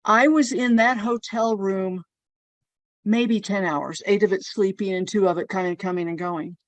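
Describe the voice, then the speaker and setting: A woman's voice: medium pitch, steady tone, normal speed, no echo. A woman hosting a casual conversation, telling personal anecdotes in a chatty way. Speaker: podcast host.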